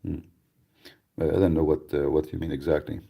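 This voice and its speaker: A man's voice: rumbling low, little pitch movement, moderate pace, creaky, dark tone, slightly rough. A man hosting a live radio talk, speaking bluntly and emphatically, with frequent repeats and restarts. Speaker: radio show host.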